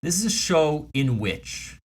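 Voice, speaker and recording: Мужчина, ведущий комедийного подкаста. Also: male voice, fairly high pitch, very animated, slow pace, breathy edge. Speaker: comedy podcast host. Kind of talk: casual comedic banter.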